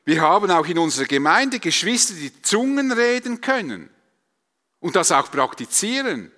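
A man's voice: high voice, very animated, moderate pace, pushed and bright. A man with a Swiss German accent preaching a sermon to a congregation, emphatic, with rhetorical repetition and questions. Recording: long hall reverb.

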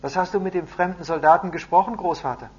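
A man's voice: high-pitched, fast, voice pushed up, lightly acted. A man voicing a curious, earnest little grandson with an eager, questioning manner. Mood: curious, caring. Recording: muffled audio with hum and noise.

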